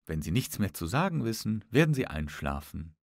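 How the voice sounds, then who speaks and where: A witty young lady, voiced by a male narrator in his own lowish register answers with polite scepticism. The delivery is formal and teasing, in a dry audiobook recording. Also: big pitch swings, creaky, no echo, exaggerated voice. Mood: teasing, sceptical. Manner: formal, teasing, quick to retort.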